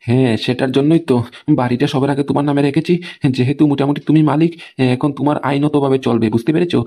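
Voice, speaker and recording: An aggrieved husband and boss from a comic cartoon , acted by a man, explains himself in a mellow voice at breakneck speed. He is emphatic and scheming, forever checking that he is being understood. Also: medium pitch, close to an everyday voice.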